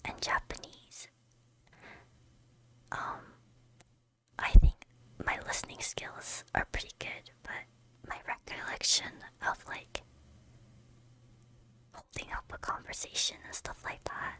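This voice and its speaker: Female streamer, first language Korean, slow breathy whisper with a lot of ums, very high and sharp-toned. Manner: soft, unvoiced whispering; hesitant, with frequent ums and soft laughs. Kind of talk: whispered ASMR chat with chat readers.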